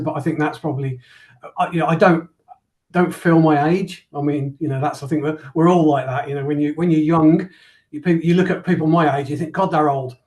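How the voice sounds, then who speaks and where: A modest man in his fifties answers interview questions, stopping and repeating words as he thinks. His voice sits a little higher than most men's. He talks in an easy, unassuming way. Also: darkish tone. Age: 50s. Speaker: company founder and guest.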